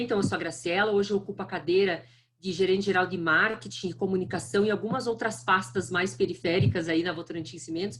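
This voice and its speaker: Middle-aged woman, heavy southern brazilian accent, chatty online talk, lots of "tá?" and "né", mid pitch. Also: noisy background. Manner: friendly, chatty, frequent "tá?" and "né". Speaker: guest speaker. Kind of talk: online lecture.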